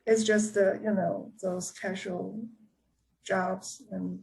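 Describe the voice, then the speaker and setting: An American woman speaks in a mid-pitched voice over a video call. She describes her engineering students haltingly, with frequent ums and restarts. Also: flat delivery, vocal fry, darkish tone, rough recording. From United States. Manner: hesitant, many ums and restarts. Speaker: community college engineering instructor.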